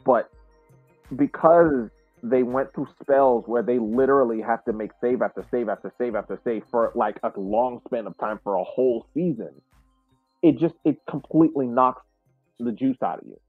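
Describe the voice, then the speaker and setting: A man discusses sport as a podcast co-host, sounding earnest and deliberate. His voice comes through narrow and phone-like, dry and close, with faint music underneath. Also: mid-range voice, slightly rough, no room sound.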